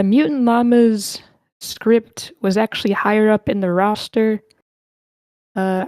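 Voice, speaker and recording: A woman with a medium-pitched voice hosts an online script reading, recorded dry and close. She is polite and informal, thinking aloud as she moves things along. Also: darkish tone, no room sound. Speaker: host of online table read. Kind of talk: casual hosting of a group meeting. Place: online voice meeting.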